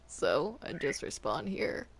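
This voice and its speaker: A woman's voice: low-pitched, big pitch swings, slow, background noise. A woman chatting casually in improvised roleplay while playing a game. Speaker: player in a Minecraft roleplay. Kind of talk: casual improvised roleplay chat during gaming.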